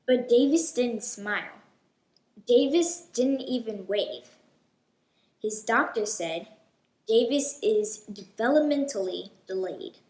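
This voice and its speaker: A woman with a fairly high voice reads a picture book for children. She takes her time, speaking very slowly and with deliberate expression. The sound is roomy, with clear echo around her voice. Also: lively intonation, a little mellow. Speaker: host of a children's read-aloud show. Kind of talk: children's storybook read-aloud.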